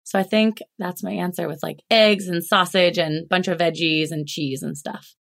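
A woman with a slightly low-pitched voice chats as a podcast guest. She rambles along easily, scattering "like"s through her sentences. She grew up in Alaska and speaks in a relaxed, conversational way. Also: normal speed, gravelly. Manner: chatty and rambling, many "like"s. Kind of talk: casual interview chat.

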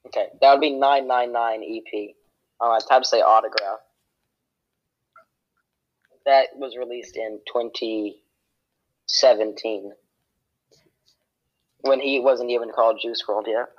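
A young man holds forth on a homemade podcast, boasting and cracking jokes in a rambling way. His voice sits at a middling pitch, and the recording sounds dull and lo-fi. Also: steady tone, a little slow, pauses often. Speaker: podcast guest. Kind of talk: casual podcast banter.

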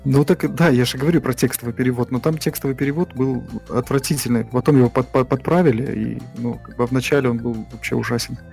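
A man co-hosting a casual podcast about games, talking in halting bursts full of fillers and restarts. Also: medium pitch, fairly even intonation, gravelly, darkish tone, faint music behind. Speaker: podcast co-host.

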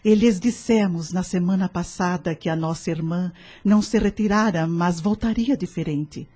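A serene, wise prayer-group leader in a radio drama. Her voice is lowish and dark with some huskiness, and she instructs formally, in a gently consoling manner. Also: moderate pace, slightly rough.

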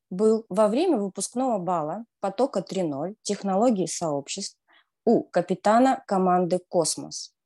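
A woman's voice: fairly low pitch, mellow. A woman co-hosting a radio show who runs a quiz game in a teasing, upbeat way. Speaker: radio co-host, quiz presenter.

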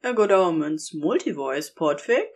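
A woman's voice: low-pitched, very animated, a little slow, darkish tone. A woman narrating romantic fiction from a script as a storyteller.

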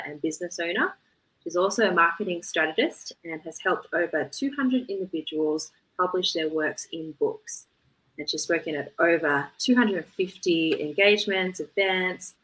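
A woman's voice: fairly low pitch, pushed and bright, rough recording. A woman hosting a podcast interview, introducing her guest and asking questions in a chatty, loosely phrased way. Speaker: podcast host.

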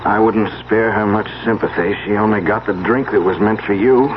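A male actor as a grim, practical bearer of bad news in a radio mystery. His voice is on the low side, and the old broadcast is muffled and clearly noisy. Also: normal speed, natural voice. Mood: grim, curt. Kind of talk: 1970s radio drama acting.

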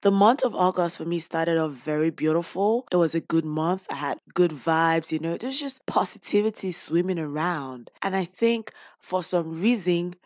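A woman hosting her own podcast with a hoarse, worn voice, talking casually and openly with playful asides. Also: on the low side, lively intonation, moderate pace, a little mellow, no room sound, clean studio sound. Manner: chatty and open, with playful asides. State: lost voice, hoarse. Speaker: podcast host; teacher. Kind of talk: casual personal podcast talk.

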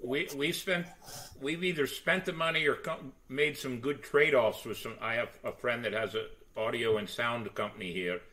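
A man's voice: mid-range voice, steady tone, moderate pace, pushed and bright, poor audio. A man hosting a podcast, chatting casually and explaining points step by step. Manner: explanatory, talks about having a big voice. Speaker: podcast host.

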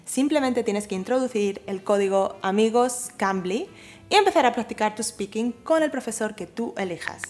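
Animated higher-pitched woman presenting a quiz. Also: a little fast, soft background music. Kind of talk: scripted presenter narration, quiz reading.

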